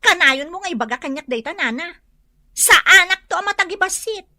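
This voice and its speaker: A woman's voice: very high-pitched, animated, a little fast, bright, forceful tone, no echo, studio quality, exaggerated voice. An actress with an Ilocano accent playing a snippy, boastful young woman in a radio drama, with a haughty manner. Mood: snippy, scornful.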